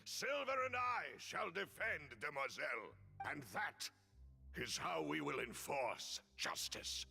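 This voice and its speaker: A man voicing a gallant, sardonic, loyal gentleman bodyguard in a video game, speaking in a formal, florid way. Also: on the high side, sing-song, slow pace, gravelly, soft background music, full character voice. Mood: indignant, defiant. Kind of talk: theatrical video game voice acting. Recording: long hall reverb.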